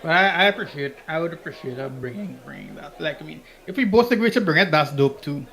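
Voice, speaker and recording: A man's voice: fairly high pitch, lively intonation, fast talker, gravelly, a bit bright. A young man co-hosting a podcast who throws in blunt, teasing quips. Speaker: podcast co-host. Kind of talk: casual chat.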